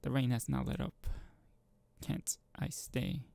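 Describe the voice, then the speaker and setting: A man's voice: medium pitch, steady tone, slow, gravelly, mellow, no room sound. A man voicing a meek, obedient young girl who blames herself, in an amateur visual novel read-aloud. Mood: pleading. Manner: apologetic, pleading.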